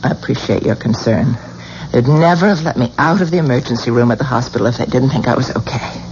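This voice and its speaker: An actress playing an anxious, strong-willed wife in a radio drama, theatrical and insistent. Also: female voice, rumbling low, quick pace, darkish tone. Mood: stubborn. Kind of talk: 1970s American radio drama acting. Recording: muffled old radio broadcast with faint hiss.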